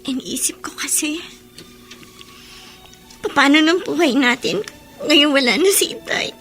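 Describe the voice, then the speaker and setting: Very high-voiced Filipino teenage girl. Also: measured pace, pushed and bright, soft background music, heavily acted. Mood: worried. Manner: earnest, plaintive. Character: teenage daughter, anxious, stubborn, ambitious student. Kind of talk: Filipino radio soap acting.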